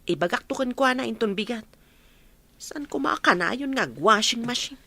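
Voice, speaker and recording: A woman's voice: a little high, animated, moderate pace, vocal fry, bright, forceful tone, no room sound, exaggerated voice. A woman playing a bossy, sickly, self-pitying aunt in an Ilocano radio drama, scolding in an Ilocano Filipino accent. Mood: nagging. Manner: scolding, demanding.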